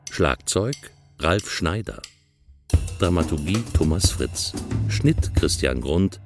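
A man announces radio play credits from a script. His voice is deep and deliberate, with lively intonation and music clearly behind it.

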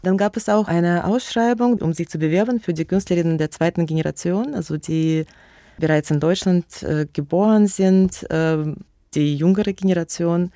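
Woman with a Russian accent, dark dry voice. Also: lowish voice, normal speed, no echo. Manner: hesitant, many fillers and restarts. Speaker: museum curator and guest. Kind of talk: radio interview answers.

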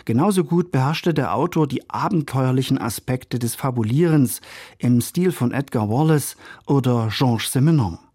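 A man's voice: mid-range voice, normal speed, a little mellow, slightly rough, no room sound, studio quality. A male radio culture critic narrating a scripted review in polished, ornate phrasing. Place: studio.

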